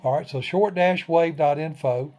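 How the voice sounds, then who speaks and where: Higher-pitched man giving an informal tutorial. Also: moderate pace, mellow. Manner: conversational, explanatory. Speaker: ham radio tutorial host. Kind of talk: informal step-by-step tutorial narration.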